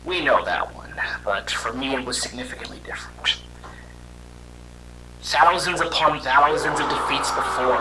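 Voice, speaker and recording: A man voicing a weary young robot hedgehog, telling his story in a halting, conversational and dramatic way for a fan audio drama. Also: mid-range voice, very noisy, lightly acted. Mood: grim, haunted.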